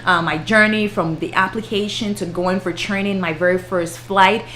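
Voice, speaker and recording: Woman in her 30s, chatty livestream talk, bright clear voice, laughs a lot. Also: mid-range voice, steady tone, moderate pace, slight background noise. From Ghana. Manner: chatty, greets viewers by name, laughs often. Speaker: flight attendant and YouTuber. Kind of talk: casual livestream chat with viewers.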